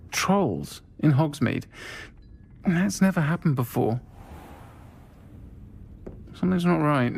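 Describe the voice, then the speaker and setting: An actor playing an unflappable, protective tavern keeper with a dry, firm manner in a video game. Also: male voice, on the high side, gravelly, darkish tone, slight background noise. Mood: uneasy. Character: warm, unflappable, protective tavern keeper who faces down bullies. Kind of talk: video game cutscene acting.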